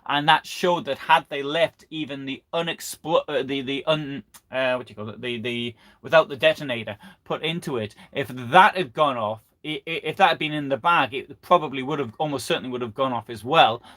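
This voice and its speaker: Man, history presenter, unscripted live lecture, medium pitch, halting phrasing with repeated words. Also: a bit bright. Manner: halting, repeats and restarts phrases mid-sentence. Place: live online broadcast.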